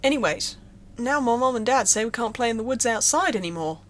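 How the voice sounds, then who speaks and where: Woman playing dramatic, indignant little boy, shrill pitch. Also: animated, a little fast, natural voice. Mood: grumbling. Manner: dramatic, grumbling. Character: young boy, dramatic, playful, indignant best friend. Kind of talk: acted storybook dialogue.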